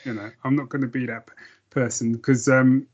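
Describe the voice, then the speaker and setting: A man's voice: mid-range voice, flat delivery, normal speed, muffled recording, a crowd in the background. A man co-hosting a casual podcast, easygoing and rambling, with self-deprecating asides. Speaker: podcast co-host. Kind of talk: casual chat.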